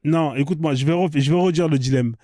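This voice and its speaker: Pushy higher-voiced male debate host. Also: quick pace, warm tone, dry recording, clean studio sound. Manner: pressing, repeats questions insistently. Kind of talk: live online debate.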